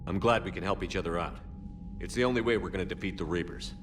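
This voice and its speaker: Stoic human commander, game lead, male actor. Low register, economical, determined. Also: fast, over music, lightly acted. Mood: resolute. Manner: restrained, terse. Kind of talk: video game cutscene acting.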